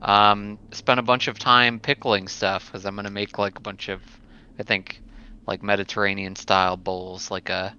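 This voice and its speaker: A man's voice: lowish voice, little pitch movement, moderate pace, sharp tone, smooth. A man chatting casually as a player on a remote tabletop game podcast. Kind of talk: casual chat. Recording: dull lo-fi call, faint noise.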